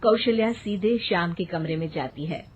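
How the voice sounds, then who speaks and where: A woman's voice: on the low side, normal speed, breathy edge. A woman reading scripted story narration. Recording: thin phone-like sound, faint noise.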